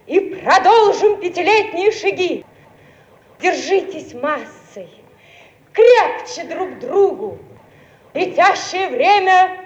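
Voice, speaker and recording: A woman's voice: high voice, a little mellow, exaggerated voice. An actress playing a solemn, exalted woman emissary from the future, declaiming a rallying speech in a radio play. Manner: declamatory, measured rallying oratory. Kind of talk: theatrical radio play acting. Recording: echoey, dull old radio recording.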